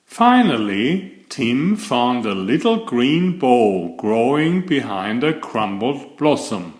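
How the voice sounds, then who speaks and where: A man narrates a children's picture book at an unhurried, measured pace. His pitch rises and falls in lively swings as he reads expressively for young listeners. The room adds a noticeable echo around his voice. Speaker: storyteller, children's author and channel host. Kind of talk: read-aloud children's bedtime story narration.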